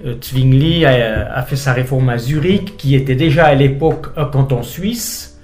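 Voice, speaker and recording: A middle-aged man from Switzerland, a pastor being interviewed on the radio, speaking thoughtfully with frequent pauses and hesitations. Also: mid-range voice, darkish tone, roomy sound, faint music behind.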